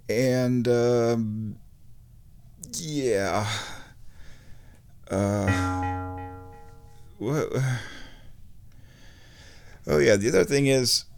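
A man with a medium-pitched voice talks slowly through a casual demonstration of electronic music gear. He is unscripted and halting, rambling as he thinks aloud. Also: darkish tone, clear, resonant tone.

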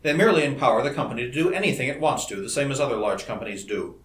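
A man's voice: medium pitch, lively intonation, racing, natural voice. Man playing a dryly evasive middle-aged lawyer father. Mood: mock-stern. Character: shrewd gray-haired lawyer father of fifty, dryly evasive. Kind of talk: old-fashioned storybook dialogue. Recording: roomy, echoing indoor recording.

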